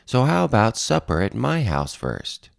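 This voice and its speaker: A man's voice: medium pitch, huge pitch swings, a little slow, gravelly, no echo, clean studio sound, voice pushed up, heavily acted. A man voicing an eager, polite, proud, fat little rabbit in a picture-book read-aloud. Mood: bold, inviting.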